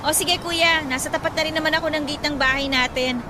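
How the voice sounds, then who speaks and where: A woman's voice: high voice, steady tone, brisk, very noisy, close to an everyday voice. A woman playing a caring, worried young adult daughter in a radio drama, speaking with respectful concern. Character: caring young adult daughter, band musician, worried about her mother. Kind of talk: Tagalog radio drama acting. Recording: loud music bed under the voice.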